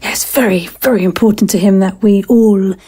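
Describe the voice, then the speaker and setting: A woman playing a cold, zealous war-veteran doctor in an audio drama, speaking in a halting, pointed, condescending way. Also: mid-range voice, warm tone, breathy edge, lightly acted. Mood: falsely sweet, veiled threat.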